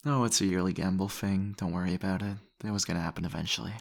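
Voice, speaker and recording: A man's voice: low-pitched, steady tone, fast talker, vocal fry. A man playing a charming, teasing vampire host in an intimate, conversational roleplay style. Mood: playful, chatty. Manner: flirtatious, suave, conversational. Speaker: audio roleplay voice actor. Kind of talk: intimate ASMR roleplay acting. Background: background music.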